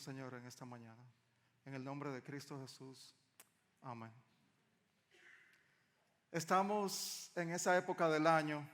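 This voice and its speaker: A male pastor with a Dominican accent delivers a sermon in a fairly high-pitched voice. His manner is conversational and leans on rhetorical questions. The sound carries long reverb, as in a large hall. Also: fairly bright tone. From Dominican Republic. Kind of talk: sermon to a congregation.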